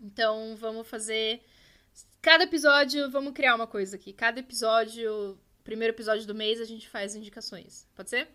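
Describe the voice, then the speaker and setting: A woman's voice: a little high, fairly even intonation, brisk, sharp tone. Laughing woman game master. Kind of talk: narrating a tabletop game.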